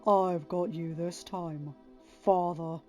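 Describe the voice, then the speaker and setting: A woman voicing a cold, vengeful male villain who growls slow threats in a space adventure story. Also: on the low side, steady tone, a little mellow, faint music behind. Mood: menacing.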